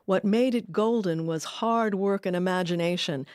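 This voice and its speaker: A woman hosting and narrating a documentary about radio history, with a measured storytelling delivery. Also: fairly low pitch, a little mellow, clean tone, no room sound, clean studio sound.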